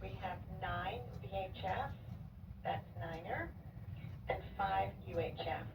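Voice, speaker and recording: A woman's voice: medium pitch, slow pace, vocal fry. A woman giving a formal station report as a radio operator, heard over a radio link. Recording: distant, noisy, echoey radio transmission.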